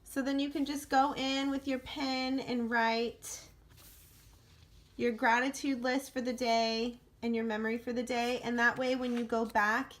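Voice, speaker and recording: A young woman vlogging to her camera. She is losing her voice and sounds raspy, and she gushes with excitement and fills her talk with "like". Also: on the high side, a little slow. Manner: excited, gushing, many "like" fillers. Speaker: vlogger and teacher. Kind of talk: casual talking-to-camera vlog.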